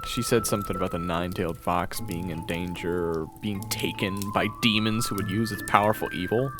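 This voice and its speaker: A man's voice: deep voice, a little fast, lightly acted. A man voicing a married swordsman from northwest Europe, flustered and wary, stammering through nervous restarts, with music playing behind. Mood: uneasy. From Orleans, northwest Europe. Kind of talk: audio roleplay acting.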